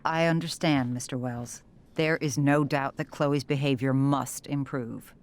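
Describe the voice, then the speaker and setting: Worried, firm mother, low voice. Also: steady tone, unhurried. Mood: relieved, pleading. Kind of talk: dramatic video game acting.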